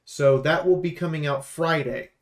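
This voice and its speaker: High-voiced man, emphatic gaming commentator. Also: steady tone, normal speed. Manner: emphatic, sweary, rambling. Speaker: YouTube host and game reviewer. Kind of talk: casual, opinionated game preview commentary.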